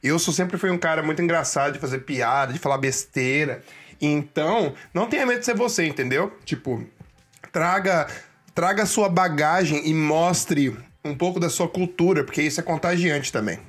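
A man's voice: on the high side, normal speed, a bit bright. A young Brazilian man, talking straight to viewers in casual, slangy Brazilian Portuguese and giving career advice.

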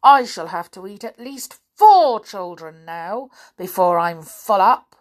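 Woman doing greedy sly croc voice, slow and gloaty. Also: medium pitch, expressive. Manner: gloating, lip-smacking. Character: greedy scheming male crocodile.

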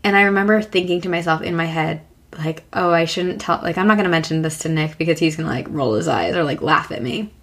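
Hoarse chatty woman podcaster. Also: deep voice, quick pace, fairly bright tone. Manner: chatty, many fillers and restarts. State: hoarse from a cough. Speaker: podcast co-host. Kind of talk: casual chat.

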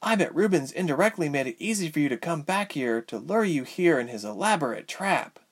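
Man playing a quiet, devoted male captive. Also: on the high side, lively intonation, fairly quick, breathy edge, pitched above the performer's own voice. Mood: warm teasing. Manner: quiet, earnest.

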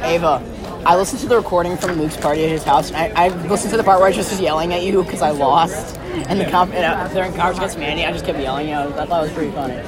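A teenage boy with a high voice rambles through a story, full of "like" and restarts. A loud crowd fills the background outdoors. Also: very fast. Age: teens. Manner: rambling, filler-heavy storytelling. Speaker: student. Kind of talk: casual group banter.

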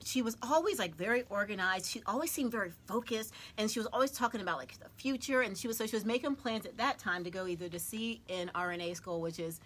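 A woman hosting a casual interview, chatty and quick to laugh, with lots of filler words. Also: medium pitch, fairly bright tone, a little hiss or hum. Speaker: podcast host.

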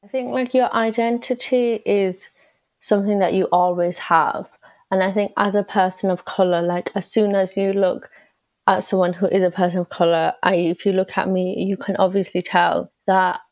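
A woman's voice: fairly low pitch, a little mellow, no echo. A young woman from England with an English accent, chatting as a podcast guest with frequent filler words. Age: young adult. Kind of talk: casual conversational answers.

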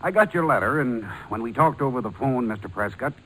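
An actor playing a cautious, formal but kindly businessman in a 1940s radio drama, speaking with measured courtesy. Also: mid-range voice, expressive, bright, forceful tone, poor audio. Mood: courteous. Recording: old 1940s radio broadcast recording.

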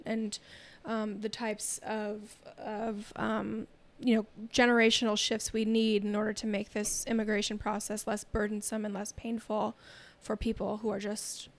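A woman speaking thoughtfully as a podcast guest, with many soft fillers and restarts. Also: medium pitch. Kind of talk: casual chat.

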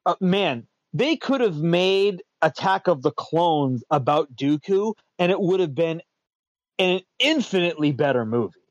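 A man with a slightly high voice chatting casually as a show host, rambling along, stumbling over and repeating words, chuckling between thoughts. The sound is dull and lo-fi, close and dry with no room. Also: animated, unhurried, no room sound. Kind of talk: casual pop-culture chat.

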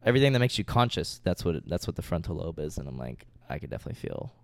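A young man with a fairly low voice talks casually on a podcast. His speech is informal and peppered with swearing, and the sound is very dry, with no room echo. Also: very animated, racing. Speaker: podcast co-host. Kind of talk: casual chat.

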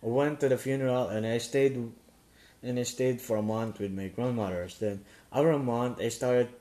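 A young man hosting a podcast, telling a personal story casually, with halting restarts and frequent throat clearing. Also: mid-range voice, warm tone. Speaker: podcast host.

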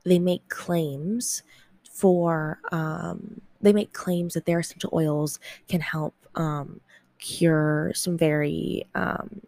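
A woman's voice: deep voice, fairly even intonation, slow pace, a little mellow, no room sound. A woman hosting a podcast, talking casually and rambling with many ums, her voice nasal from congestion. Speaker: podcast host. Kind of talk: casual solo podcast talk. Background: fan whir.

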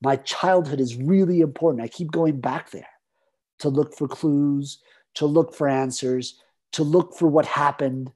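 A man with a Latin American Spanish accent, speaking as an author in a reflective conversation, halting with fillers and restarts. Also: on the high side, a little mellow. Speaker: author answering an interviewer.

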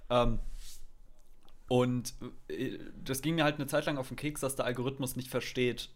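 A man's voice: mid-range voice, fairly even intonation, moderate pace. A man from Germany, a podcast guest chatting casually and jokingly, dropping English phrases into his talk. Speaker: podcast guest, content creator.

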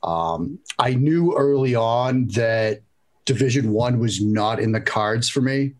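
A man's voice: medium pitch, slow pace. A man from New England with a Boston accent, a former quarterback chatting casually as a podcast guest and joking at his own expense. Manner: self-deprecating, joking.

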